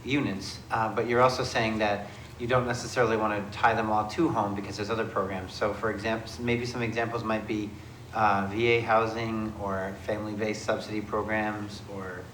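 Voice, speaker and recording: A man chairing a city committee meeting, with a gravelly voice and an informal, thinking-aloud way of asking questions. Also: fairly low pitch, level tone, brisk, a bit bright, roomy sound, slight background noise, rough recording.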